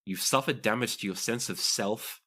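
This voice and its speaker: A man's voice: fairly low pitch, moderate pace, bright, forceful tone. A man narrating a tabletop roleplaying game as game master, describing scenes and explaining rules to players.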